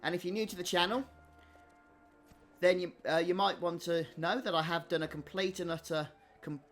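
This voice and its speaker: A man giving casual, chatty live commentary as a gaming streamer, offering measured opinions. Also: high-pitched, steady tone, faint music behind. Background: game soundtrack.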